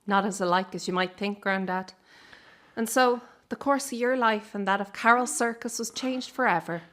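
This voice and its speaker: A woman acts a young Irish woman from a circus family in a radio play. She has a mid-pitched voice and talks as a caring granddaughter who can be blunt. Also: normal speed, a bit bright, lightly acted. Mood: warm, prompting. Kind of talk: radio drama acting.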